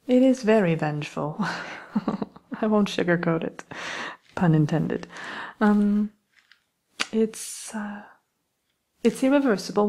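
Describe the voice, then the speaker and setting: A woman voicing a sly, genteel evil queen in an ASMR roleplay, soft-spoken and often slipping into whispers, with breathy, hesitant, politely wicked phrasing. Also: mid-range voice. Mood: coy, vengeful.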